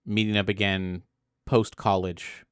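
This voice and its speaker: Male podcast speaker, fairly low voice, animated rambling explainer, recorded very dry. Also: a little slow, smooth, no echo. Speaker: game designer and podcast guest. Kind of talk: casual podcast discussion.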